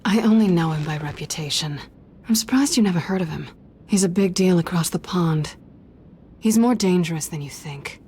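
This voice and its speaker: A woman's voice: on the low side, normal speed, dark tone, slight background noise, close to an everyday voice. An actress playing a tough, streetwise female thief in a video game, wry, cool and guarded. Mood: sardonic, wary.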